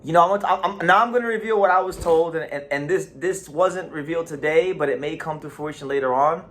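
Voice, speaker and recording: A man hosting a casual boxing talk show, talking in an animated way with frequent restarts and repeated words. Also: on the high side, a little fast, a little hiss or hum. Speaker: boxing channel host.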